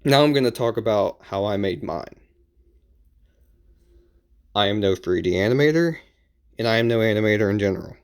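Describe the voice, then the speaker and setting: A man giving casual advice as an amateur video creator, explaining in an informal, halting and repetitive way. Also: fairly low pitch, expressive, clear, resonant tone.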